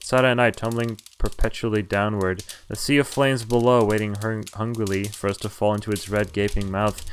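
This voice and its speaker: A man's voice: on the low side, flat delivery, fairly quick, slight background noise. A man streaming a visual novel, reading its story aloud dramatically, stumbling over words now and then and dropping casual asides and swearing. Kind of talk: dramatic read-aloud of game narration and dialogue with casual asides. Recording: music behind the voice. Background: game music.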